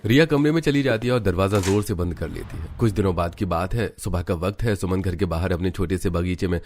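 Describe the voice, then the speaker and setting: A man's voice: deep voice, fast talker, a little mellow, slight background noise. A man narrating a scripted cartoon family story, setting each scene as a storyteller.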